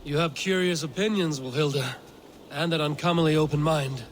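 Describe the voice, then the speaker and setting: Actor as sober Viking warrior. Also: male voice, fairly high pitch, a little hiss or hum, close to an everyday voice. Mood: thoughtful. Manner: measured, sober, archaic phrasing. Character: level-headed, battle-worn Viking warrior investigator. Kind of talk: dramatic video game acting.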